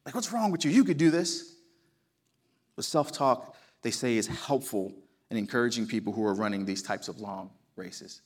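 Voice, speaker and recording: A man's voice: medium pitch, normal speed. South Jersey man preaching conversationally. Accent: South Jersey American. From New Jersey, United States. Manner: conversational, folksy storytelling. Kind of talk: sermon. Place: church sanctuary.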